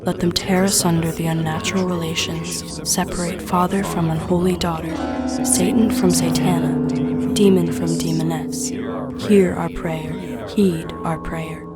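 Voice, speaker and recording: Cold, proud she-demon, played by a woman, low-pitched. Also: flat delivery, a little slow, loud music behind the voice, close to an everyday voice. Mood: bitter chanting. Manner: formal, solemn diction. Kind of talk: audio drama acting.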